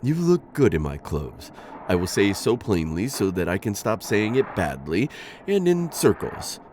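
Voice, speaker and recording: A flustered insectoid bounty hunter. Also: male voice, on the low side, big pitch swings, unhurried, a little mellow, slight background noise. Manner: formal, flustered, rambling and overexplaining. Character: awkward insectoid male alien bounty hunter. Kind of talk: ASMR roleplay audio drama.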